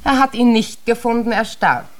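An actress playing a middle-aged widow in a German radio play, speaking in a controlled, deliberate and quietly insinuating way. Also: female voice, a little high, lightly acted. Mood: calm, quietly menacing. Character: cool, calculating widow of a watch shop owner.

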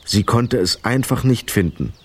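A man narrating a children's radio play in an expressive, dramatic storytelling style. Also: mid-range voice, a little slow, slightly rough.

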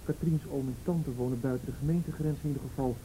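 Quick, dark-toned, worried friend. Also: female voice, steady tone. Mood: pensive, wry. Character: worried, wry woman sheltering a runaway friend. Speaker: actor in a radio play. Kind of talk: Dutch radio drama acting. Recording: rumbly, noisy old radio recording.

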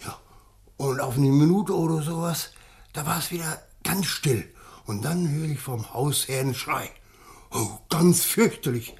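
Aufgewühlter, stockend erzählender Mann vom Dorf. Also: male voice, fairly high pitch, darkish tone. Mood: shaken, awed. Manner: halting, stammering, insistent eyewitness telling. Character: shaken, tipsy village man recounting an uncanny sighting. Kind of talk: German radio play acting.

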